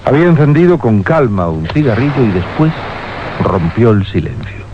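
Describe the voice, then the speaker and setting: A man's voice: mid-range voice, sing-song, moderate pace, noisy background. A man narrating a scripted radio drama in a dramatic storytelling style. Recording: dull radio recording under loud music.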